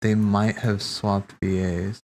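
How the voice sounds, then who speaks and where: A man streaming casual live commentary, thinking aloud in a halting way and repeating phrases as he reasons. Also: on the low side, little pitch movement, a little mellow. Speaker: streamer hosting a cutscene watch party. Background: game music behind the voice.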